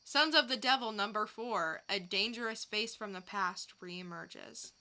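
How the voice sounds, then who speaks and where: A woman co-hosting a podcast, reading out scripted teasers in a measured way. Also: medium pitch, pushed and bright. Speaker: podcast co-host.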